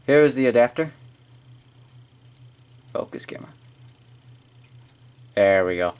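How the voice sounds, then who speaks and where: An American man giving a casual, halting, off-the-cuff hands-on product demonstration as he unboxes it on camera. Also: mid-range voice, animated, darkish tone, smooth. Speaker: amateur unboxing video maker. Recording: noisy amateur room recording with clicking.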